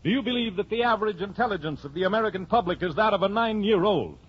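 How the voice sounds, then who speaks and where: Casting: male old-time radio announcer, high voice over organ, muffled vintage sound. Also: animated, fast talker, breathy edge. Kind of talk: 1940s radio scripted announcing. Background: loud organ music.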